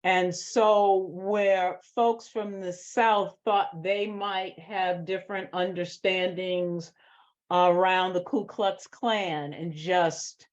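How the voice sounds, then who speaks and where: An American woman speaks as a screenwriter answering questions, in a slow, deliberate pace. She is emphatic and passionate about what she explains, and the recording is a little dull and lo-fi. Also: lowish voice. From Boston, United States. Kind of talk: post-reading Q&A talk. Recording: dull lo-fi sound.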